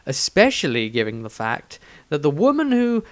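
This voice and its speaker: Male narrator for fantasy audiobook: lively, varied intonation, scripted storytelling, dry recording. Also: on the high side, moderate pace, no echo. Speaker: author and narrator.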